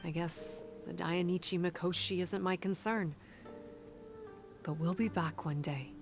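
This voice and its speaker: A woman voicing a guarded, solemn young female creature in a video game, formal and grave, bitter toward humans. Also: fairly low pitch. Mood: grave, sorrowful. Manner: formal, grave, measured wording. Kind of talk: video game character dialogue. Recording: game music behind the voice. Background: background music.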